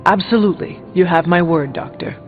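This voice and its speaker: An actor playing an earnest, reserved young warrior hero in a video game, stiffly formal and understated. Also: male voice, sits high, a little fast, close to an everyday voice. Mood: reassuring. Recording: muffled, long hall-like reverb, faint music. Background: faint music.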